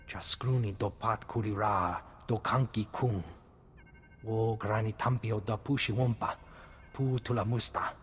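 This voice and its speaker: A man's voice: fairly low pitch, steady tone, unhurried, a little hiss or hum, close to an everyday voice. An actor playing a male alien captive in a video game, speaking an invented alien language. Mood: tense. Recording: long reverb, clear background ambience.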